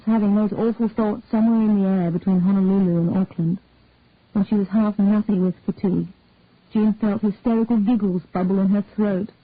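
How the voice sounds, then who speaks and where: A woman's voice: medium pitch, dry recording. A woman reading a story aloud as scripted narration, like an audiobook reader. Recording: narrowband, tinny phone-like sound.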